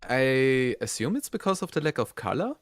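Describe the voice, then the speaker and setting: A man co-hosting a casual review, explaining things in a halting, conversational way. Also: medium pitch, sing-song, no room sound, studio quality. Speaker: review show co-host.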